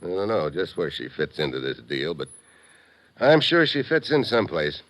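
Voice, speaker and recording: An actor playing a tough, wry American secret agent, hard-boiled and slangy, in 1950s radio drama style. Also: male voice, deep voice, big pitch swings, normal speed, a bit bright. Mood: thoughtful.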